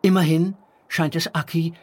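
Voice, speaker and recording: A man narrating a children's audio drama as a calm storyteller, reading scripted narration. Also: on the high side, normal speed, close to an everyday voice.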